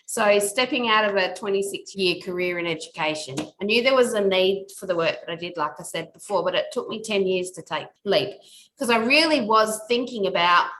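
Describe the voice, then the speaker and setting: A woman's voice: medium pitch, a little fast, fairly bright tone, low-quality recording. A middle-aged woman, a podcast guest talking earnestly and reflectively in long, winding sentences. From Australia. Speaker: podcast guest, workplace culture consultant. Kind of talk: casual interview talk.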